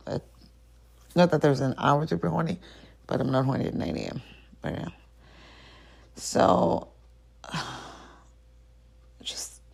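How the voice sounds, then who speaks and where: A woman's voice: low voice, creaky, a little mellow, breathy edge. A woman hosting her own podcast, talking alone in a rambling, self-questioning way and sometimes trailing off into a whisper. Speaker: podcast host. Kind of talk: solo confessional chat.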